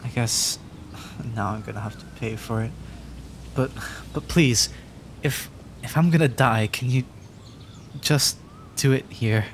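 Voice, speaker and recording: A man's voice: medium pitch, a little slow, slight background noise, lightly acted. A man playing a nervous, adorkable young dragon, injured and frightened, stammering and apologetic in an ASMR fantasy roleplay. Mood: frightened, pleading. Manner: stammering, hesitant, rambling, apologetic. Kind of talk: ASMR roleplay audio drama.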